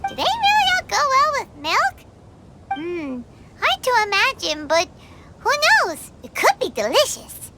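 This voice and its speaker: An actress voicing a tiny, blunt and excitable female fairy companion in a game. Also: heavy background noise, full character voice. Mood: doubtful. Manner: high squeaky voice, quick blunt remarks. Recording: game audio with loud music behind.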